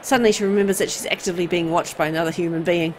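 A woman reading visual novel text aloud as expressive, storytelling narration. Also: on the low side, racing, slight background noise. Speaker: video game player and narrator.

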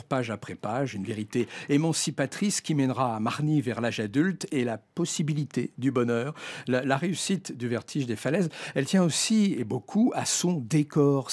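Middle-aged male radio critic, scripted review, measured and articulate, close studio desk mic. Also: medium pitch, darkish tone. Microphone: broadcast desk microphone.

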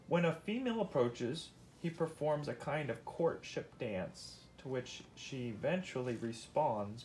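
A man's voice: mid-range voice, a little mellow, slight background noise, rough recording. A man reading a nonfiction picture book aloud to his child, explaining and asking questions as he goes. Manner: explains and asks questions as he reads.

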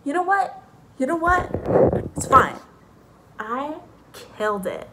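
A woman's voice: high voice, big pitch swings, slow pace, heavy background noise. A young woman chatting casually to her phone camera, bubbly and full of fillers, in her bedroom and then a parked car. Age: young adult. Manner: bubbly, chatty, lots of fillers, laughs often. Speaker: vlogger. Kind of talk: casual vlog chat. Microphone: phone camera at arm's length.

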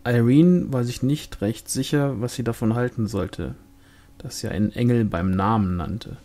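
Man narrating a novel, mid-pitched voice. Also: normal speed, dark tone. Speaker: audiobook reader.

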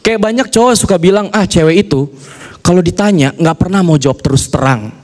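A man's voice: high-pitched, brisk. A young man performing a stand-up comedy set on a TV studio stage with a handheld mic, acting out voices as he tells his jokes. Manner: animated, acts out voices and dialogue.

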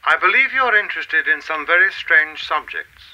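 An actor playing a mysterious, coolly menacing official in a radio play, with a formal, measured, insinuating manner. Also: male voice, a little high, animated, bright, forceful tone, lo-fi sound.

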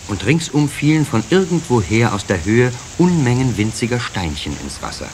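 A man with a low voice narrates a children's story. His delivery is expressive and very animated. A faint cassette hiss runs underneath.

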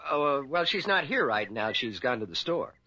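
Shifty, stammering husband, sharp-voiced. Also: male voice, mid-range voice, sing-song, normal speed, muffled recording. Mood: evasive, flustered. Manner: stammering, evasive, falsely friendly. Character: shifty, nervous husband hiding something, evasive adult man. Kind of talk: radio drama acting. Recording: radio drama with music underneath.

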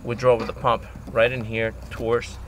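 A man's voice: mid-range voice, level tone, normal speed, slight background noise. A man giving a casual step-by-step how-to on his boat outdoors, talking plainly with fillers. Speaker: DIY boat video host.

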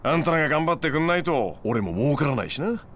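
A man's voice: on the high side, sing-song, fast talker, fairly bright tone, a little hiss or hum. An actor playing a smug, laid-back male moneylender in his thirties, lecturing gangsters in a glib, needling way in a game cutscene. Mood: casual, approving. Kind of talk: video game cutscene acting.

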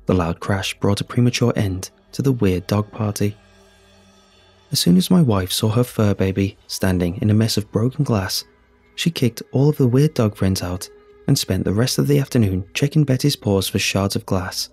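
A man narrating a scripted first-person horror story in a measured, solemn, husky voice. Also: fairly low pitch, animated, a little mellow. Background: music.

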